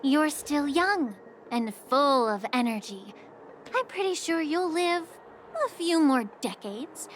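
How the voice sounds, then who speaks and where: An actress voicing a calm, sly, long-lived woman alchemist official who teases dryly and unhurriedly. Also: high voice, a little hiss or hum. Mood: teasing. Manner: dry, teasing and unhurried. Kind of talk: game voice acting.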